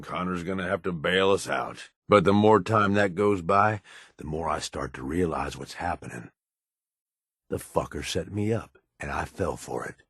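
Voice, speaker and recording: An actor playing a jaded, foul-mouthed middle-aged raider sidekick in a video game, with a gravelly American voice and a gruff, blunt way of talking. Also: male voice, a bit bright, dry recording, studio quality, full character voice. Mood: bitter storytelling. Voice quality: husky, gravelly low voice. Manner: gruff, blunt, folksy tough talk. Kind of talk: video game companion dialogue.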